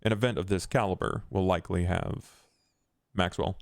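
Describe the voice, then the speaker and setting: A man playing a stoic, cold male vampire accountant in a tabletop roleplay, with formal, terse, deadpan delivery. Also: sits low, normal speed, gravelly, no room sound, clean studio sound. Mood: measured, pragmatic. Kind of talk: in-character tabletop roleplay acting.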